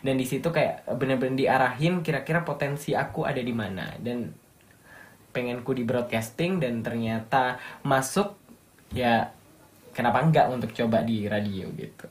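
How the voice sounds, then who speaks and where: A man's voice: mid-range voice, normal speed. Young guy w/ a bit of a javanese accent, chatty and upbeat, talking about his radio gig. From Malang, East Java. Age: young adult. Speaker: guest, radio announcer. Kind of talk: casual interview chat.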